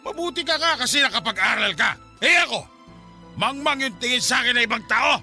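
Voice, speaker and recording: A drunken Filipino husband, belligerent and domineering. His voice is very high and piercing, swinging widely as he barks, in radio-melodrama style. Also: lively intonation, a little fast, sharp tone, soft background music, heavily acted. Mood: bitter, angry. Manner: aggressive, barking, domineering.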